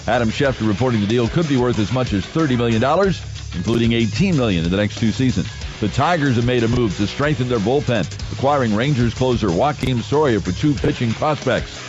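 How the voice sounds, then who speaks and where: Over a prominent music bed, a man reads a scripted sports news bulletin. His voice sits at a middle pitch and sounds slightly dull, as if from a limited radio feed. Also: animated, fairly quick.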